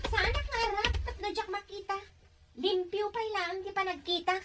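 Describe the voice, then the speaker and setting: A woman's voice: very high voice, moderate pace, over music. A woman playing a hurt, guarded young woman in an audio drama. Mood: curt, dismissive.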